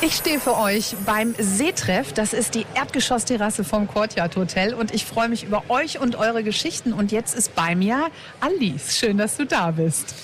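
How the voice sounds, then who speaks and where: A woman's voice: fairly high pitch, animated, normal speed, slight background noise. A woman hosting a live radio interview from a hotel terrace at a lakeside festival, asking chatty, encouraging questions. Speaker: radio host.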